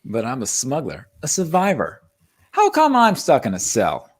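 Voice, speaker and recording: A man's voice: medium pitch, huge pitch swings, normal speed, slightly rough. A man playing a cocky, defensive washed-up smuggler in a comedic script read. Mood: wry, indignant. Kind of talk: comedic live table read of a film script.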